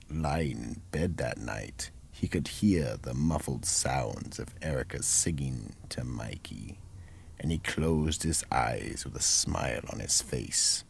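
Very deep, gravelly male narrator. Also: slight background noise. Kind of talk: scripted radio mystery narration.

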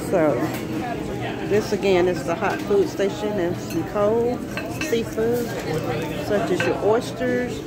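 A woman giving a casual food tour as a vlogger, listing items in an unhurried, enthusiastic way on a handheld phone. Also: mid-range voice. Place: large indoor buffet restaurant. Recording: loud busy restaurant crowd noise. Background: loud crowd and dining room clatter.

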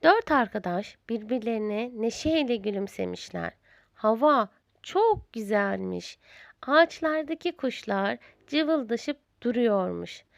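A woman narrating a children's storybook in a deliberate, expressive storyteller's manner. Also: on the high side, vocal fry, no echo, studio quality.

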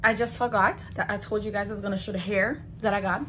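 A woman's voice: medium pitch, fast, a little hiss or hum. Bubbly young woman vlogging in her bedroom. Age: young adult. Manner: bubbly, chatty, gushing with excitement. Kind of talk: casual vlog unboxing. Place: bedroom, indoors. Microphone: camera on a tripod facing her.

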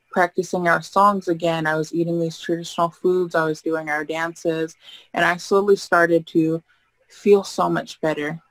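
A young woman speaks earnestly over a video call from a room indoors. Her voice stays flat and level, and she reflects aloud with frequent ums. The sound is dry and close. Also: low-pitched, no echo, poor audio. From United States. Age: young adult. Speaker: keynote speaker. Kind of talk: personal keynote talk. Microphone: webcam mic on a video call.

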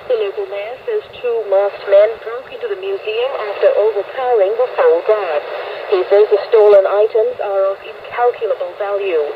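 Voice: medium pitch, normal speed. A radio newsreader delivering a scripted news bulletin. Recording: noisy shortwave radio, narrow phone-like band.

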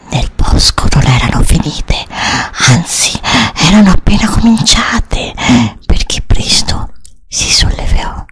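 A woman storyteller whispering a scripted fantasy narration with dramatic, drawn-out phrasing. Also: medium pitch, expressive, a bit bright.

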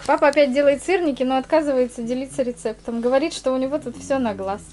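A woman's voice: sits high, fast talker, a little mellow. A woman in her thirties with a stuffy nose from a cold, chatting casually while filming her family on a handheld camera. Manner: chatty, laughs often, asks questions. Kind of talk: casual family vlog chat. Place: outdoors in a summer garden and village lane. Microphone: handheld camera at arm's length. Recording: outdoor camera audio with noticeable background noise.

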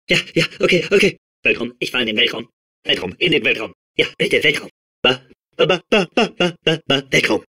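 A man playing a manic, childlike robot sphere obsessed with outer space in a video game, babbling and repeating himself in a strained, husky voice. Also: mid-range voice, heavily acted. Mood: manic excitement. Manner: excitable, babbling, repeating words compulsively. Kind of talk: video game character voice clips.

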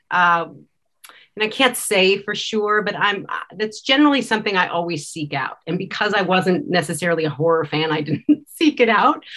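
A woman's voice: medium pitch, fairly bright tone. A middle-aged woman talking casually as a film director guest on a video-call panel. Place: indoor room, on a video call.

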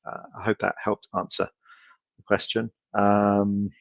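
A middle-aged man giving a casual conference Q&A from a home room over a video call, relaxed and hesitant, with many ums and restarts. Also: on the low side, unhurried, gravelly, dry recording, highs cut off. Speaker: company speaker answering audience questions.

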